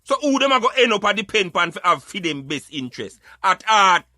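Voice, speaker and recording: A man's voice: sits high, big pitch swings, fairly quick, bright, forceful tone, no room sound, studio quality. A Jamaican man giving emphatic, opinionated social commentary in Patois, like a street philosopher preaching on a radio show.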